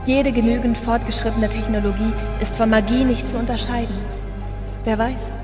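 A woman's voice: fairly high pitch, little pitch movement, normal speed, lightly acted. An actress playing a tender, mysterious, urgent younger woman in a science fiction audio drama. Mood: wistful. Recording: long echoing reverb, music behind.

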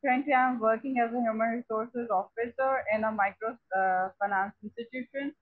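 A woman's voice: on the high side, fairly even intonation, brisk, no echo. A woman introducing herself courteously on a video call. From Pakistan. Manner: courteous, hesitant with fillers. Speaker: workshop participant. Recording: tinny phone-like call audio.

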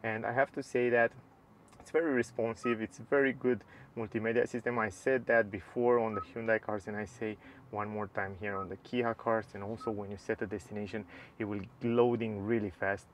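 A man reviewing a car's dashboard and screens from the driver's seat, in relaxed, slightly non-native English. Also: lowish voice, brisk, slight background noise. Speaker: car reviewer. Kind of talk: casual walkthrough narration. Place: inside a parked car.

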